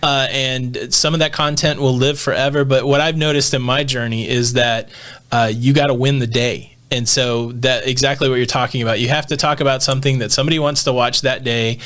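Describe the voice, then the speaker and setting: A man's voice: medium pitch, steady tone, a little fast, a bit bright. A man hosting a podcast interview who asks long, rambling questions. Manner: thoughtful, rambling questions with fillers. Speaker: podcast host. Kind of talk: conversational interview.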